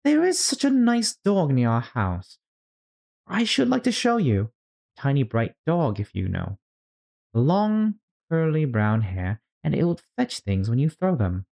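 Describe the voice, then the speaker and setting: A man voicing a polite, anxious young girl in a storybook, with a high, light voice and an earnest, pleading manner. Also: very animated, a little slow, gravelly, a little mellow, heavily acted. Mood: eager, pleading.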